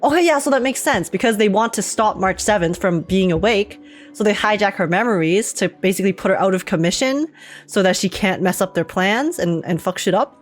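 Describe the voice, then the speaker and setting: A woman's voice: mid-range voice, animated, a little fast. Chatty young woman reacting to a game. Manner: chatty, informal, sweary, theorizing aloud. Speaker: gaming streamer. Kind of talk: casual game reaction commentary. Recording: music playing behind the voice.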